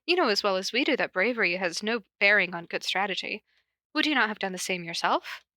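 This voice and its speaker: Woman reading as polite, quietly menacing young man. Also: mid-range voice, lively intonation, no echo, clean studio sound. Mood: smugly polite. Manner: courteous, measured.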